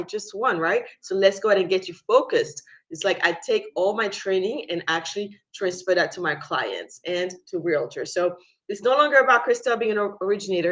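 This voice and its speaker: A woman from the French Caribbean introducing herself as a guest on a video call, talking energetically and correcting herself as she goes. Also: mid-range voice. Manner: energetic, self-correcting, emphatic. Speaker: guest speaker. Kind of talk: self-introduction.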